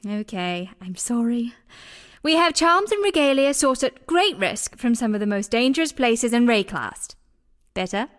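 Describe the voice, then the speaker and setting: A sharp-tongued exiled woman from a fantasy video game. Her voice sits a little high. She is wary and dry, with a pointed, teasing edge. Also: very animated, a little slow. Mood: placating, dry. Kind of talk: scripted video game dialogue.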